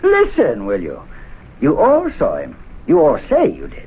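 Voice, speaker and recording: A man's voice: fairly high pitch, huge pitch swings, measured pace, slightly rough, heavily acted. A mocking, skeptical clubman in a vintage radio play. His intonation leaps widely, and his voice carries some husk. It comes through a tinny old broadcast sound. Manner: mocking, scoffing. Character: sardonic, skeptical male club member who scoffs at the others.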